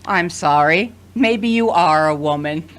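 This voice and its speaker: A woman's voice: fairly low pitch, measured pace, close to an everyday voice. A woman acting in an audio drama as a middle-aged mother, motherly and loving with a dry, hesitant manner. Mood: dryly exasperated. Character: worried, dryly loving middle-aged mother of grown children.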